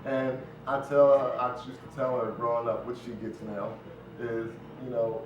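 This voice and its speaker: A man's voice: medium pitch, little pitch movement, normal speed, dull audio. A middle-aged American man talking casually and earnestly as an interview guest, dropping in frequent conversational asides. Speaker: interview guest, stage play writer. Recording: very echoey room, distant mic, noisy.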